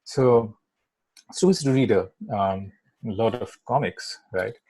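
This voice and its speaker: A man's voice: on the low side, slow, warm tone, slightly rough, dry recording, poor audio. A middle-aged man from Kolkata, native Bangla speaker with an Indian English accent, talking as an interview guest in a rambling way full of fillers and "right?" tags. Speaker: guest filmmaker. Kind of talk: casual interview chat.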